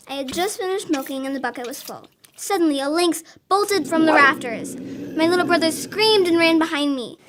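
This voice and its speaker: A woman's voice: high-pitched, noisy background. A young girl playing a kindly farm grandmother in a school radio drama, telling things in an earnest storytelling way. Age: child, about 10.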